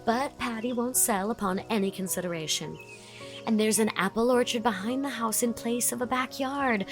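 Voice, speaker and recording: A woman's voice: on the high side, normal speed, faint music behind, close to an everyday voice. A woman playing a chatty, effusive young society woman in an audiobook drama, leaning on words for dramatic emphasis. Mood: confiding.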